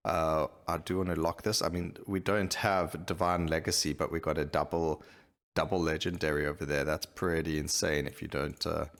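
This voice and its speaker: A man's voice: a bass voice, little pitch movement, normal speed, fairly bright tone. A man giving casual, unscripted commentary as he plays a video game. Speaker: gaming video host. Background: game music.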